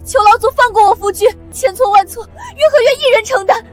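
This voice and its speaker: A woman's voice: very high voice, fairly quick, faint music behind. An actress playing a desperate young wife who pleads tearfully for her husband, crying. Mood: pleading. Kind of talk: historical fantasy drama acting.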